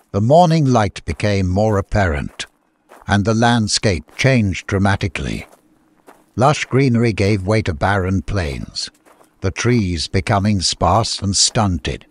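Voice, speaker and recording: A man's voice: fairly low pitch, expressive, slow. A man narrating a fantasy audiobook in a scripted, dramatic storytelling style. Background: music.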